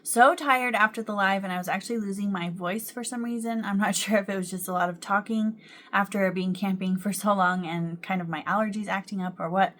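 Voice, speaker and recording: A woman's voice: medium pitch, fairly even intonation, fairly quick, a bit bright. A young adult woman chatting casually to camera at home about her crochet projects, with frequent ums and small laughs. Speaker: crochet vlogger.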